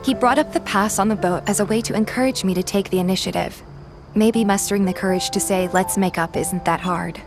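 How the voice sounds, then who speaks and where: A woman voicing a shy, soft-hearted young heroine in a game fan dub, earnest in both narration and dialogue. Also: mid-range voice, a little fast, over music, lightly acted. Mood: pensive narration.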